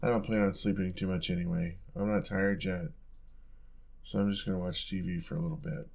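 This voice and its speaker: A young man playing an affectionate, playful roommate in an intimate audio roleplay, talking in a soft, casual, teasing way. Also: low-pitched, steady tone, brisk, vocal fry. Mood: gentle, caring.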